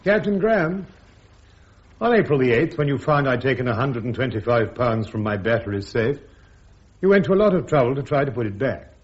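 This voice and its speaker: Male, actor as courteous accused major, medium pitch with lively swings, husky, restrained manner, dull radio recording. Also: fairly quick, close to an everyday voice. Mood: polite, controlled. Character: polite, restrained army major, accused, defending himself. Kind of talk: radio drama acting.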